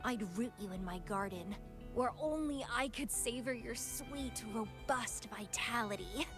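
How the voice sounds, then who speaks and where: Slow, teasing, possessive temptress. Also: female voice, fairly high pitch. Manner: slow, teasing, breathy purr. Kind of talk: video game voice acting. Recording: game dialogue over clear music.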